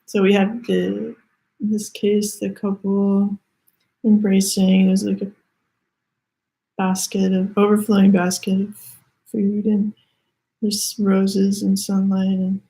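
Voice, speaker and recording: A woman with a Canadian accent giving a halting, thoughtful spiritual card reading, full of fillers and restarts. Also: medium pitch, completely flat delivery, vocal fry, low-quality recording. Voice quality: soft-spoken, hesitant, many fillers and restarts. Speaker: tarot reader.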